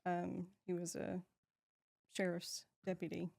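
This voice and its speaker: A low, gravelly woman in her fifties talks easily as a podcast guest. She laughs a lot and lets her sentences trail off before picking them back up. The sound is close and dry. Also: completely flat delivery, slow, a little mellow, no room sound. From Texas, USA. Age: 50s. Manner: laughs often, trails off and restarts. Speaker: guest, shop owner. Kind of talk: casual interview chat.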